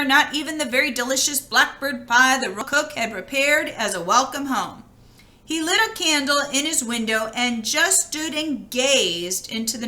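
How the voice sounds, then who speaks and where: Lady reading a picture book out loud, high bright voice, expressive and kinda chatty. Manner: expressive, conversational, pausing to comment. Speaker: storybook reader. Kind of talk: read-aloud storytelling with chatty asides to listeners.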